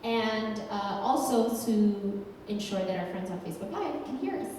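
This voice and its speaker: A woman's voice: medium pitch, lively intonation, moderate pace, a little mellow, slight background noise. A woman from Colombia, an executive director, talking thoughtfully to a live audience with many ums and restarts. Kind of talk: panel talk to a live audience. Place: large hall with audience. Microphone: handheld microphone. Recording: echoey hall from far off.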